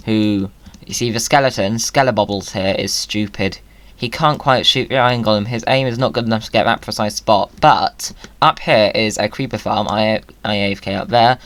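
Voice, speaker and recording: A man's voice: on the low side, a bit bright, smooth. A British man hosting a gaming video, chatting to viewers in a playful, whimsical way with exclamations.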